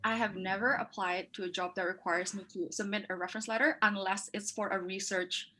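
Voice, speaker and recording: Bright-voiced young woman from Indonesia. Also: mid-range voice, fairly even intonation, brisk. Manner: chatty, frequent "like" fillers. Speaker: panel speaker. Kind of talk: casual advice talk.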